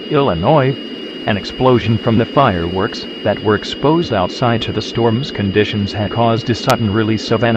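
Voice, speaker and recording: A man's voice: on the low side, close to an everyday voice. A man playing a grave emergency agency announcer, reading a scripted alert in a formal, authoritative manner. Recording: dull lo-fi broadcast with clear noise.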